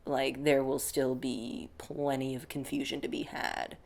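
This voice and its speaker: A man's voice: mid-range voice, completely flat delivery, unhurried, gravelly. A young man from the United States, answering interview questions in a reflective, casual way, often saying "like". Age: young adult. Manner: reflective, many filler "like"s.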